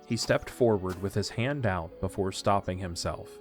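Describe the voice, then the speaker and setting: Male narrator for fantasy audio drama: lowish voice, scripted storytelling, soft music bed underneath. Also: moderate pace.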